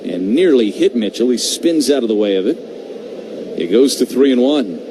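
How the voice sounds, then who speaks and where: A man doing live radio baseball play-by-play, conversational between pitches and excitable on the big calls. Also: normal speed. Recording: noisy live radio broadcast feed.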